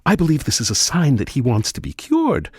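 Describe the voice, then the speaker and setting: An actor playing a smug, eccentric asylum doctor in a gothic radio drama, genteel and theatrical. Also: male voice, mid-range voice, huge pitch swings, brisk, a little mellow, breathy edge, dry recording, studio quality. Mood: gleeful fascination. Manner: theatrical, genteel, gleefully pompous. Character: eccentric, smug asylum doctor showing off his mad patients.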